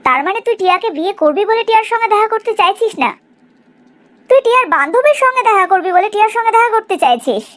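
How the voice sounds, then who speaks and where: A woman's voice: very high-pitched, sing-song, fast talker, fairly bright tone, exaggerated voice. A woman playing an indignant, bossy middle-aged mother in a cartoon family drama, scolding and exclaiming.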